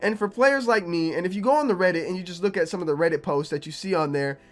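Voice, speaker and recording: Young gaming youtuber guy, kinda raspy since he's sick, higher voice, rambles and repeats himself. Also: quick pace, faint music behind. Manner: conversational, repeats himself, low energy. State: a little raspy from being sick. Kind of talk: casual opinion vlog to camera.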